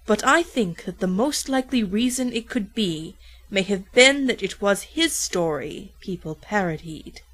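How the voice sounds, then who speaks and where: A woman's voice: medium pitch, animated, unhurried, a bit bright, poor audio. A woman narrating a rhymed storybook parody in a theatrical, playful way, drawing out words for dramatic emphasis.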